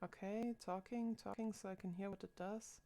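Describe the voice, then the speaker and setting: A woman's voice: mid-range voice, steady tone, normal speed, warm tone. A woman in her twenties streaming live from home, chatting casually and thinking aloud into a desk microphone as she puzzles over her setup. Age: 20s.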